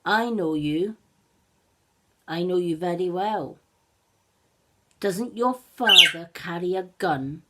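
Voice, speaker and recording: A protective mother wolf from a storybook, firm and reproachful, voiced by a woman. She talks slowly with heavy emphasis over a faint hiss. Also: lowish voice, pushed and bright. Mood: stern.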